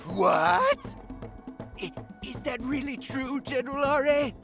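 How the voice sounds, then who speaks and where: A man's voice: extremely high pitch, slow, vocal fry, heavily acted. A man voicing a pompous, cowardly puppet king in a video game, with a whiny, easily flustered manner. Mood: anxious. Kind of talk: animated video game voice acting. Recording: prominent music behind the voice.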